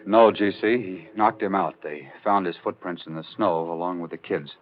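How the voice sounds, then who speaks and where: A man's voice: low-pitched, normal speed, lightly acted. An American man acting in a 1940s radio drama as a weary, haunted newspaper reporter hiding his past. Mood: subdued, grim. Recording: dull old 1940s radio recording.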